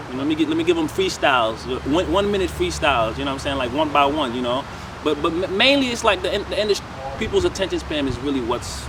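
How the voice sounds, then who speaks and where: A man from Senegal, a rapper, chatting casually and animatedly in an interview, with lots of fillers. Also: a little high. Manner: fast, animated, loose with many fillers. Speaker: rapper guest. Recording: outdoors with loud traffic noise.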